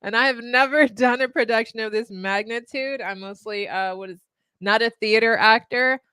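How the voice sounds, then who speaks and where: A co-host of a live web show, speaking from a home studio, bubbly and enthusiastic. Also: female voice, on the high side, normal speed, pushed and bright, clean tone, no room sound.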